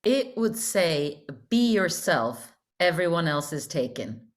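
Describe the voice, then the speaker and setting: A woman from Norway speaks in a calm, gracious way as a panel guest. She is on a video call and restarts her sentences now and then. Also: on the low side, takes it slowly.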